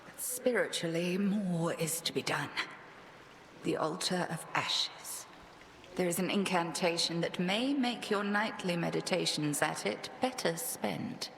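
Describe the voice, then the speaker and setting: Gravelly, echoing stern witch headmistress. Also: fairly low pitch, slight background noise. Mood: stern, measured. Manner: formal, deliberate, commanding. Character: stern older witch headmistress, measured and exacting. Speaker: actor voicing a game character. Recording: long reverb, loud music behind. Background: loud game music.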